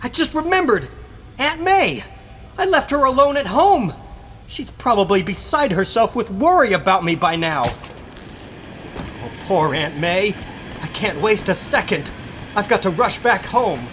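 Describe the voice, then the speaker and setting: Guy doing a young comic book hero, wry n earnest, takes his time, pitch jumps around, music behind. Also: big pitch swings, measured pace, pauses often, fairly bright tone, a little hiss or hum, heavily acted. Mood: worried. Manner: earnest, wry, melodramatic self-talk. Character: young male superhero, wisecracking, earnest, easily worried. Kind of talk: dramatic comic book reading.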